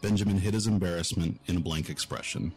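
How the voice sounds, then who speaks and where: A male narrator reads a scripted fantasy audio drama. His voice is low-pitched, with quiet music playing underneath. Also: fast.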